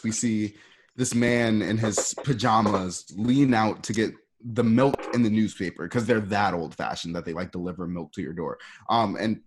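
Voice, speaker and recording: A man's voice: lowish voice, slight background noise. A man casually narrating a story for a radio drama, in a conversational way.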